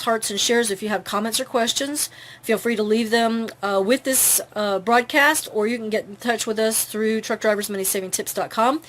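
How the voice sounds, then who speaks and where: A woman hosting an informal live advice broadcast, instructive and earnest, often checking in with the listener as she explains. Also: a little high. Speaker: host of a live advice broadcast for truck drivers. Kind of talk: informal live tips talk to camera.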